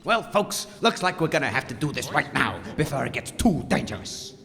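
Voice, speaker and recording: A man playing a bold, showy exorcist in a comic audio drama, creaky-voiced and grandiose. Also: medium pitch, big pitch swings, fairly quick, pushed and bright, in a large hall, slight background noise, full character voice. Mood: solemn. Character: bold, showy exorcist leading a ghost tour.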